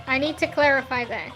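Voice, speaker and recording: High bright-voiced woman streaming her drawing, english not her first language, slow easy chat w/ chat, faint music behind. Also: poor audio. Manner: chatty, answers chat questions, laughs often. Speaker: streaming artist. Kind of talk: casual livestream chat while drawing.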